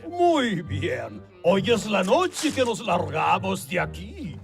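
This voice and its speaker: A man voicing a bossy, exasperated egg leader in an animated comedy, commanding and theatrical. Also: sits high, slow pace, creaky, exaggerated voice. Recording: film clip with loud music.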